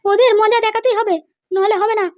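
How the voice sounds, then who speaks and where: A woman's voice: extremely high pitch, fairly even intonation, brisk. A woman playing a schoolmaster's vindictive, pushy wife who gives commands insistently in a comedy cartoon. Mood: vindictive, demanding.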